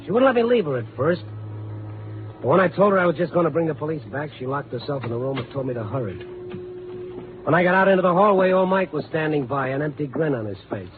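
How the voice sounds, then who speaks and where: An actor playing a steady, world-weary newspaper reporter in 1950s radio drama, measured and terse as he questions and narrates. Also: a little high, lightly acted. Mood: grim narration. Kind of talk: 1950s radio drama, hard-boiled first-person narration. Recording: tinny 1950s radio broadcast.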